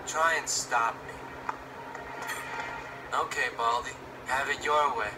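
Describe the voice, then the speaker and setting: An actor voicing an earnest, defiant young hero in an animated space opera, speaking emphatically. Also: male voice, medium pitch, slow, natural voice. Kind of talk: cartoon voice acting. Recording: distant playback with loud background noise.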